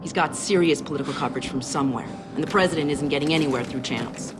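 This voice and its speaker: A low, steely American female agent voiced by an actress in a game scene, urgent and insistent. Loud background noise runs under the voice. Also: quick pace, natural voice. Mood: urgent briefing. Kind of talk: video game voice acting.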